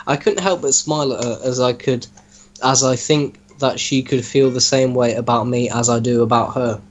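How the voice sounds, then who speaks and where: A man talking casually as an interviewer, with a deadpan, mock-serious teasing manner. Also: medium pitch, moderate pace. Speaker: host interviewing a story's author. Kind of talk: casual joking chat with reading aloud.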